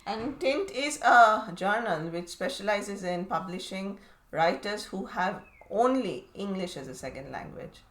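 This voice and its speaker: A woman's voice: mid-range voice, animated, unhurried, echoey room. A woman interviewing a guest in a friendly way, asking questions and giving brief encouraging remarks. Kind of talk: conversational interview.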